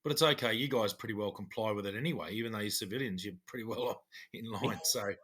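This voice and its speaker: A middle-aged man, a former cop co-hosting a podcast, explaining things in a measured way. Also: lowish voice, a bit bright, slightly rough. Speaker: podcast co-host, former police officer. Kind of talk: casual chat.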